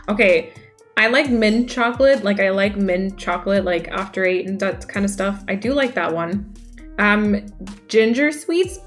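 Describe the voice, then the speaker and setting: A young woman chats casually with her stream audience, reacting to messages as they come in. Her voice sits at a middle pitch for a woman. Soft music plays quietly underneath. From Denmark. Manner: chatty, reacts aloud to chat. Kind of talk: casual chat reading viewer messages. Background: faint music.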